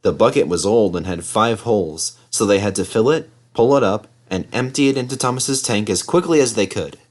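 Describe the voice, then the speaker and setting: A man's voice: lowish voice, lively intonation, a little fast, darkish tone. A man narrating a children's story as a scripted read-aloud. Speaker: storybook reader.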